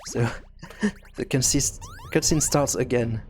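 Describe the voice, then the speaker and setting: A man's voice: mid-range voice, a little mellow. Man talking through a coding tutorial, faint music. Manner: hesitant, halting, self-correcting. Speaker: tutorial presenter. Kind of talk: informal software tutorial, thinking aloud while coding.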